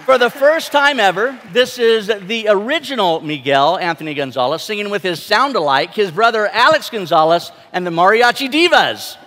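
A middle-aged man presents enthusiastically from a stage. His voice is high and bright, carried through a large reverberant hall. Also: animated, normal speed, few pauses. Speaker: stage host. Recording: large hall PA, crowd behind.

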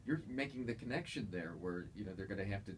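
A man's voice: on the low side, expressive, fast, slight background noise. An American man hosting a talk radio interview, joking, teasing and rambling as he banters with a guest. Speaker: radio host. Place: radio studio.

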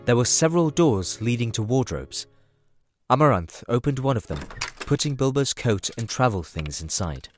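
A man's voice: medium pitch, big pitch swings, normal speed, faint music behind. A man narrating a scripted fantasy story as the narrator of an audio drama.

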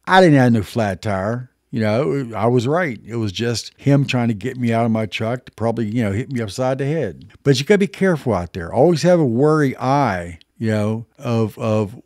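A man's voice: mid-range voice, a little mellow, no echo. Folksy older man, dry close sound. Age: 60s. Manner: folksy trucker slang, plainspoken. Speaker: video host, veteran truck driver. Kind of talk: casual storytelling and advice to camera. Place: small indoor home studio.

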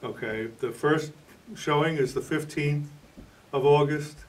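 A man's voice: fairly high pitch, a little slow. Older man interviewed, slightly distant mic. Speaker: novelist and playwright being interviewed. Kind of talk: interview answers.

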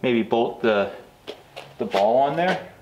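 A man talks casually while he works, thinking out loud. The sound is very roomy and picked up from a distance, with clear background noise of clanks and clicks. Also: mid-range voice, expressive, slow pace, lo-fi sound. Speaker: garage host. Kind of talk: casual chat while working. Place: garage workshop.

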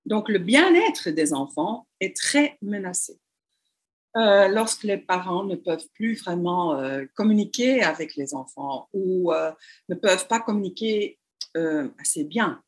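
A woman's voice: lowish voice, expressive, measured pace. Hesitant woman lecturing, French not native. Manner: hesitant, many fillers and restarts. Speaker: university lecturer. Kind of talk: online lecture over slides.

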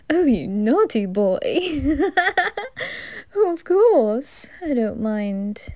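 A woman voicing a flamboyant, flirtatious male reaper in an ASMR roleplay, teasing and giggling, often slipping into breathy whispers. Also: fairly high pitch, very animated, extremely slow, mellow, no room sound, full character voice.

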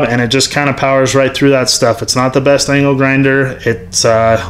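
Male tool reviewer, casual voice-over, mid pitch, rambly and candid. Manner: rambling, frank, lots of ums and restarts. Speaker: workshop YouTuber reviewing tools. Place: metal-walled home workshop.

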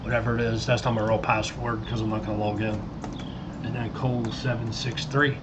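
A middle-aged American man giving a casual, explanatory screen-share software tutorial. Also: fairly low pitch, flat delivery, brisk, very noisy. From United States. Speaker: tutorial presenter. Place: indoors at a computer desk. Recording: loud guitar music behind the voice. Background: loud guitar music.

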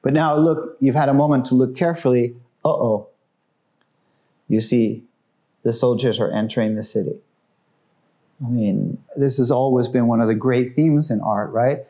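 A man giving an informal, enthusiastic talk about artworks in a museum room, growing hushed and solemn at the tragic pieces. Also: mid-range voice, fairly even intonation, normal speed, long pauses. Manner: enthusiastic, conversational, drops his voice for solemn works. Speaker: museum guide presenting artworks. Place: museum gallery room, indoors.

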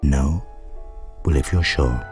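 A sly old magical hat ponders aloud in a storybook game. The voice is very deep and deliberate, over music. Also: male voice. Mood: musing, sly.

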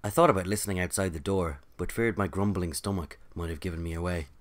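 Low-pitched male narrator, an actor, dry and put-upon, telling an office story for audio drama. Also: fast, close to an everyday voice. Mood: wry. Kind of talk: scripted first-person narration.

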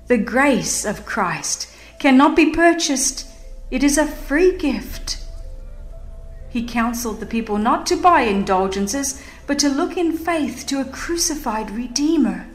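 A woman narrating a religious history audiobook in a solemn, emphatic, formal manner. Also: medium pitch. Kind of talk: dramatized scripted narration, reading a religious history. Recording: echoing voice over music. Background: music.

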